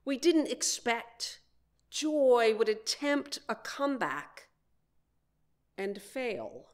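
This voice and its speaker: A woman minister delivering a sermon in deliberate phrases, with frequent pauses. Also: fairly high pitch, very animated. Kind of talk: sermon with read quotations.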